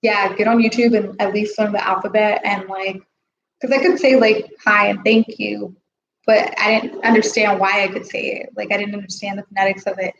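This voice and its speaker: A woman's voice: mid-range voice, fairly even intonation, normal speed, creaky, a bit bright, slight background noise. Brief: young woman, chatty student guest, muffled roomy sound. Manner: chatty, says "like" a lot. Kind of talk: casual live Q&A chat.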